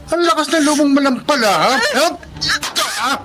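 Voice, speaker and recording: A man's voice: very high voice, lively intonation, normal speed. An actor playing an abusive, greedy husband who menaces and taunts his wife for money. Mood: menacing.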